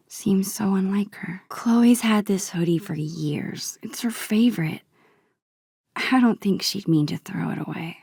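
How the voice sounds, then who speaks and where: Game role: thoughtful American teenage girl. Soft, husky-breathy inner monologue with a creaky edge, musing and shy. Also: on the low side, no echo, clean studio sound, full character voice. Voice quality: breathy, hushed. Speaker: video game voice actress.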